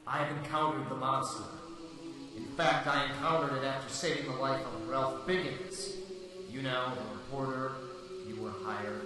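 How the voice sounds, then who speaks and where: A ghostly male avenger from a radio play, speaks with calm, deliberate authority. His mid-pitched voice booms through a long, cavernous reverb and sounds distant and otherworldly. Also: over music. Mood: calm, commanding. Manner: measured, deliberate, telepathic address. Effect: heavy reverb for telepathic voice. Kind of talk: old-time radio drama acting.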